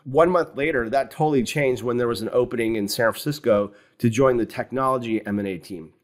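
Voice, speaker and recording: A man in his fifties, a fund founder, talking through his career as an interview guest in a calm, explanatory way over a video call from a home office. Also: mid-range voice. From United States. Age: 50s. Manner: measured, explanatory, with frequent "you know". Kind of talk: conversational interview. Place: home office with wooden cabinets. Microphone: video call webcam.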